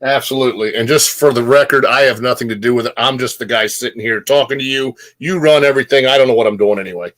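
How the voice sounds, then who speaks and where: A man co-hosting a live streamed chat, relaxed and self-deprecating as he talks. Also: mid-range voice, fast, hardly any pauses. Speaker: co-host.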